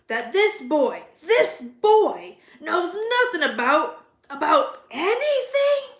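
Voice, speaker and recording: Woman acting a booming gruff giant man, echoey. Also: very animated, slow, exaggerated voice. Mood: outraged. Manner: booming, rustic dialect speech. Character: gruff, hearty giant man, friendly but quick-tempered. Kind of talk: children's storybook read-aloud. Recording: roomy echo.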